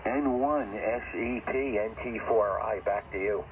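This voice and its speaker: A man's voice: fairly low pitch, measured pace. A man chatting casually as an amateur radio operator over shortwave, rambling a little and circling back to repeat his points. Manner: rambling, conversational, repeats himself. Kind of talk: casual ham radio chat. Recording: muffled, noisy ham radio transmission.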